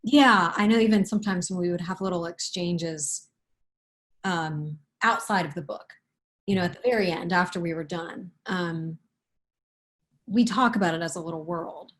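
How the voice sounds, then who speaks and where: Low-voiced woman, halting and thoughtful. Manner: halting, thoughtful, with repeated self-corrections. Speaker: picture book author being interviewed. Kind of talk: casual interview conversation.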